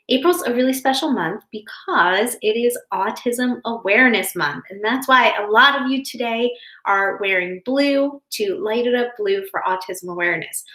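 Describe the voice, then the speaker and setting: A woman talking to young children in a gentle, reassuring way, explaining things simply in a chatty style. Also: mid-range voice. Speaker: school educator reading stories to children online. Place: home office indoors. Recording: roomy, echoey home office.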